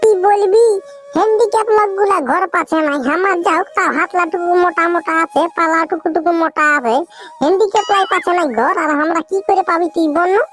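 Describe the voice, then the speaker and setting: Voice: very high voice, fast talker, a bit bright. A performer voicing a cheeky, grumbling village fellow in a comic cartoon, with a rustic Purulia accent and a teasing, exclamatory way of complaining. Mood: scornful. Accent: Purulia, West Bengal.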